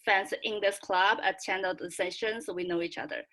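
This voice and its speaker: A young adult woman from China with a Chinese accent, chatting casually in halting, excitable, giggly English on a video call. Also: mid-range voice. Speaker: student and fan club member.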